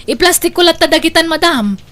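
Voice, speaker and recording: An actress with a Filipino accent playing a gossipy, sly housemaid who grumbles and wheedles in an audio comedy drama. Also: female voice, very high voice, expressive, brisk, fairly bright tone. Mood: wheedling. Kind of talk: Ilocano comedy radio-style drama acting.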